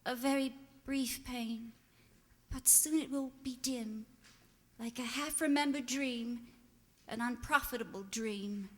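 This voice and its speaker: An actress playing a sorrowful but resolute young fiancée in a radio play, earnest, formal and wounded. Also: female voice, on the high side, slow pace, a bit bright. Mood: sad farewell. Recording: reverberant, music under the voice.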